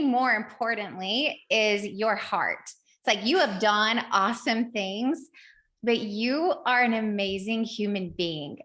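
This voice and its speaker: A woman's voice: mid-range voice, sharp tone. A woman co-hosting a podcast, chatting in an effusive, gushing way as she introduces and interviews. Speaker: podcast co-host.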